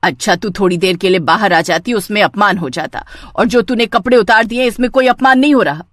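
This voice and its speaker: A woman voicing an exasperated older mother-in-law in a family story, sharp and reproachful. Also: medium pitch, a little fast, no room sound, studio quality, close to an everyday voice. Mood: angry scolding.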